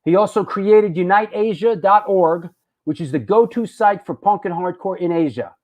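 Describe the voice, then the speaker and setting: Joking high-voiced New host. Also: male voice, moderate pace, darkish tone. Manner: loose, joking, repeats himself. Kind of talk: live show chat.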